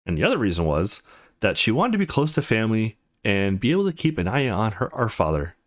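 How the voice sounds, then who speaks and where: A man reading visual novel text aloud as a let's play host, in an expressive storytelling style. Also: lowish voice, a little fast.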